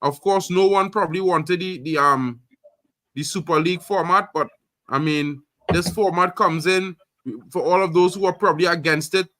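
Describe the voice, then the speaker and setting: A man from Trinidad hosts a casual live football stream, talking loudly and excitedly with repeated catchphrases. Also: high voice, moderate pace, background noise. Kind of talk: casual live sports chat.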